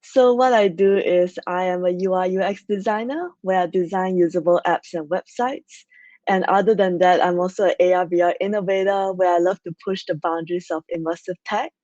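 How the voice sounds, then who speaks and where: A woman's voice: fairly low pitch, steady tone, a little fast, a little mellow, dry recording. A young woman from Malaysia giving a casual online conference talk, chatty and full of fillers. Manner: chatty, many fillers like "like" and "so yeah". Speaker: conference speaker. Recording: dry, slightly dull video-call audio.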